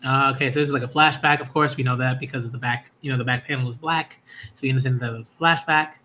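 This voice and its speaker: A man hosting a casual live stream about manga, talking loosely with lots of fillers and getting excited and loud at big moments. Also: mid-range voice, fast, a little hiss or hum. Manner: loose, rambling, heavy on fillers and "y'all"; excitable, rising into shouts at story moments. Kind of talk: casual live-stream chat and reading reactions.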